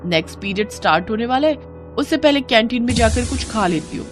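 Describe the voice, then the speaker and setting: A woman voicing a greedy, shameless, self-pleased female schoolteacher in an animated moral story, with a brazen, gleeful, drawn-out delivery. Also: a little high, music in the background, close to an everyday voice. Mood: self-satisfied.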